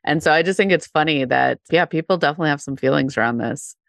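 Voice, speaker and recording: Low gravelly woman podcast host. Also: quick pace, a bit bright, dry recording, studio quality. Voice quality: nasal. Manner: chatty, laughs often, many fillers. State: under the weather, congested. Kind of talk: casual podcast chat.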